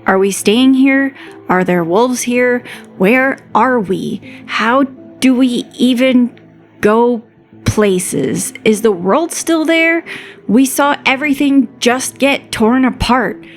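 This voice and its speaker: A woman's voice: on the high side, faint music behind. A woman acting an anxious, questioning female adventurer in an audiobook drama, speaking hesitantly. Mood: anxious, lost. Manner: hesitant, halting.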